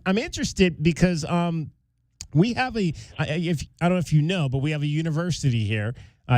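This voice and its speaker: A man's voice: fairly high pitch, darkish tone, dry recording. A man hosting a sports talk radio show, interviewing a guest in a friendly way with many restarts. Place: radio studio. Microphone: studio mic.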